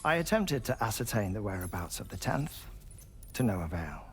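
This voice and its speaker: An actor playing a measured, formal investigator who explains things deliberately and courteously in a video game cutscene. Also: male voice, fairly low pitch, big pitch swings, a little hiss or hum. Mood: grave, explanatory. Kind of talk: dramatic expository game cutscene dialogue.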